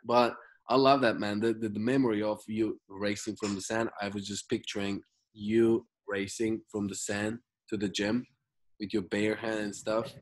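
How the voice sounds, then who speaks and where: A man's voice: on the low side, little pitch movement, moderate pace. A man from Turkey with a Turkish accent, speaking English as a second language, chatting animatedly as a basketball trainer asking questions. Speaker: basketball skills trainer, interviewer. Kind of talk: casual conversation.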